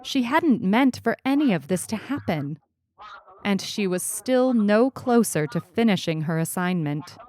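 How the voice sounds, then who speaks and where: A woman reading a scripted short story as narrator, deliberate and expressive. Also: medium pitch. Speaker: story reader. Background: music.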